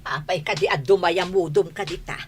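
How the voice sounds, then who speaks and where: An actress playing a weary middle-aged village mother with a husky voice in a radio comedy drama. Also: fairly low pitch, brisk, soft background music. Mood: reproving.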